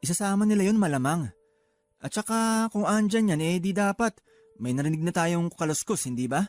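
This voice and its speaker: A performer playing a calm, reasoning young husband in a radio drama. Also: medium pitch, brisk, soft background music, lightly acted. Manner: soothing, reasoning, dismissive. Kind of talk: Tagalog radio drama acting.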